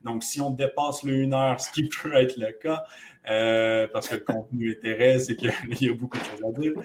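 A man hosts and opens an online webinar over a video call, speaking at a middle pitch. He rambles casually, with lots of hesitations and restarted sentences. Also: steady tone, normal speed, slight background noise. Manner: rambling, with many fillers and restarts. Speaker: webinar host.

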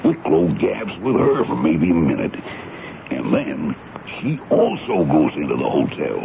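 An actor playing a folksy, dutiful American army sergeant in a radio drama, speaking in a colloquial, deferential way with a gravelly voice. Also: male voice, very deep voice, very animated, measured pace, exaggerated voice. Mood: matter-of-fact, respectful. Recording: muffled, noisy old radio broadcast.

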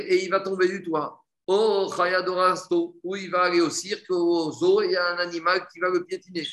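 A man's voice: sits high, bright, forceful tone. A man giving an explanatory religious text lesson as a teacher, reading and translating in a didactic way, repeating phrases and answering his own questions. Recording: echoey room.

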